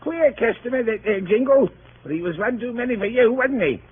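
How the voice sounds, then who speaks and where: A cheeky manservant with a high, lively voice, full of jaunty wisecracks. It plays as vintage radio comedy through a thin, tinny broadcast. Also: male voice, fairly quick, breathy edge, heavily acted. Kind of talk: 1930s radio comedy acting.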